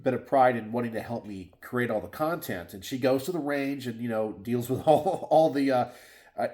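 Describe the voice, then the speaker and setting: A man's voice: mid-range voice, a little mellow. Middle-aged texas guy in his garage, stuffy nose, rambling gun channel chat, lots of uhs and restarts. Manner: rambling, many fillers and restarts. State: stuffed up and sniffly. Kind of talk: casual solo chat to camera. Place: home garage.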